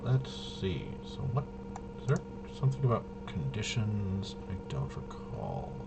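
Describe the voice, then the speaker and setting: A man's voice: fairly low pitch, wildly swooping pitch, a little slow, gravelly, over loud music. A man casually thinking aloud as he plays a tabletop roleplaying game, hesitant and muttering to himself while he checks rules. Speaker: solo tabletop RPG player demonstrating gameplay.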